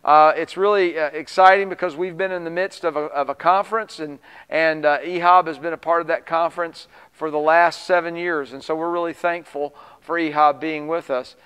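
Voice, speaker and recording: A man in his fifties hosting a church television program, speaking in an earnest, measured way with preacherly emphasis. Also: on the high side, fairly even intonation, a bit bright, clear, resonant tone, in a large hall. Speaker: host. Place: small studio set with plants.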